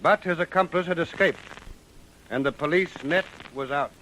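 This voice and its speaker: An actor as the grave narrator of a radio police drama, telling the story in dramatic scripted style. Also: male voice, fairly high pitch, moderate pace, bright, forceful tone, a little hiss or hum, rough recording.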